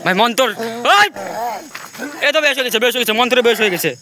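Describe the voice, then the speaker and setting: A man reacting in fear outdoors at night, with rapid, repeated anxious exclamations. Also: very high voice, animated, background noise. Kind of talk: frantic live commentary.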